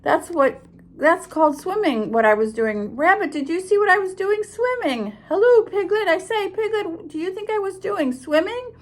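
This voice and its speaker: A woman voicing an excited, squeaky little boy kangaroo in a storybook read-aloud, eager and boastful. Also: measured pace, vocal fry, hardly any pauses, heavily acted.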